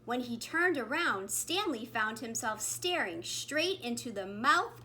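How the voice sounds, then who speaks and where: A woman's voice: fairly high pitch, expressive, unhurried, bright, forceful tone. A woman reading a picture book aloud expressively to young children. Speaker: kindergarten principal. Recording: faint background noise.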